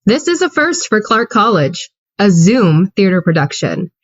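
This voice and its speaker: A woman's voice: medium pitch, big pitch swings, measured pace, dry recording, dull audio. A woman giving a wry, scripted introduction to an amateur radio play over a video call. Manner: dry, wry. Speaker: narrator and stage manager.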